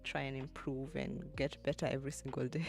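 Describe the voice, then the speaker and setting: A young woman in her twenties, live-streaming as she edits and chatting with viewers, thinking aloud with soft laughs. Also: extremely low pitch, level tone, a little fast, soft background music. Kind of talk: livestream, talking to chat while editing.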